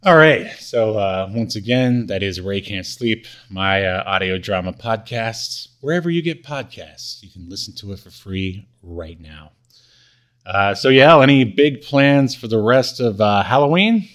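A man's voice: on the low side, lively intonation, measured pace, fairly bright tone. A man hosting a podcast in a casual, chatty style. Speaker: podcast host. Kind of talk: casual chat.

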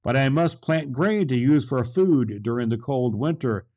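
Earnest young storybook hero, read by a man, gentle, formal, pleading, highly animated pitch. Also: normal speed, lightly acted. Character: young Claus, earnest gentle male settler.

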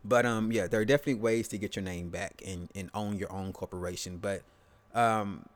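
A man's voice: lowish voice, steady tone, a little fast. A man in his late thirties hosting a solo podcast, talking loosely and casually, slipping into slang and playing out short quoted exchanges. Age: 30s. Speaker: podcast host.

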